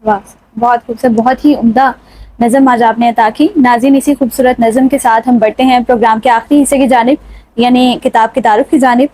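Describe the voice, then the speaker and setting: Courteous, high-voiced woman host. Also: steady tone, warm tone, no room sound, rough recording. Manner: courteous, appreciative. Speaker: show host. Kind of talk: formal literary programme hosting.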